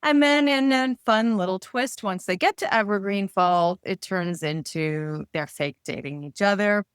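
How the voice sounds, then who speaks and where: A woman author being interviewed, pitching her books conversationally with upbeat, playful storytelling. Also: measured pace, clear, resonant tone, dry recording, studio quality.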